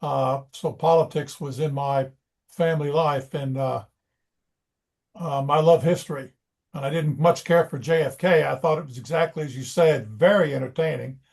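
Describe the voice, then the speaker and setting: A man's voice: fairly high pitch, creaky, a little mellow. An American man from near Washington DC giving casual, rambling feedback in conversation. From United States, near Washington DC.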